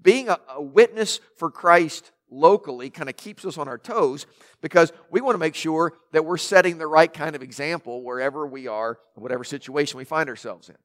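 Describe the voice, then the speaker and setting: Loud man preaching a sermon. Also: on the high side, normal speed. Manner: emphatic preaching with rhetorical questions and building lists. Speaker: pastor.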